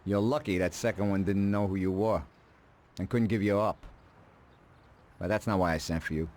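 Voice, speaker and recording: A man playing a calm, calculating middle-aged mob advisor in a video game, speaking in a dry, deliberate, matter-of-fact way. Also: low-pitched, natural voice. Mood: businesslike. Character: calm, calculating male mafia consigliere, drily ruthless.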